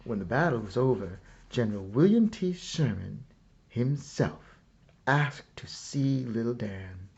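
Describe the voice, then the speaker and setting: A man reading a children's story aloud as scripted narration, with a measured, dramatic storyteller's delivery. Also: medium pitch, expressive, vocal fry, darkish tone, lo-fi sound. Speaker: storyteller reading a picture book.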